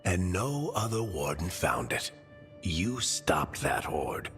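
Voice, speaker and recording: Wry fatherly game mentor, deep voice, swinging pitch, faint music behind. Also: sing-song, slow pace, a bit bright, heavily acted. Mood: encouraging. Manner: wry, fatherly, calls the hero kid. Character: wry seasoned male dwarf mentor, fatherly. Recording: long hall reverb, faint music.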